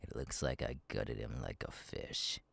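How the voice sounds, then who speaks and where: A man voicing a sadistic masked killer in an ASMR roleplay, husky and taunting, slow and halting, often dropping to a whisper. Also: a bass voice, lively intonation, a bit bright, no echo, full character voice. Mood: gleeful, mocking. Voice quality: husky, gravelly. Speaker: voice actor, ASMR artist. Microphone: close, dry microphone.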